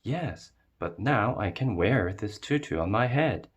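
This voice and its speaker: A man reading as an animated, fancy young schoolgirl. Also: lowish voice, a little slow, breathy edge, exaggerated voice. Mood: excited, playful. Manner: dramatic, expressive, savoring fancy words. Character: fancy, enthusiastic young schoolgirl narrating her story. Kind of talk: children's picture book read-aloud.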